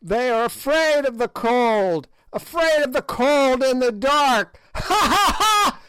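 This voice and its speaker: Shrill cackling old hag, as a male reader puts her on, evil, high and piercing, dry close sound. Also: lively intonation, slow, fairly bright tone, clear, resonant tone, no room sound, full character voice, low-quality recording. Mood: ranting. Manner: shrieking, archaic speech. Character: ancient shrieking evil witch woman, hag.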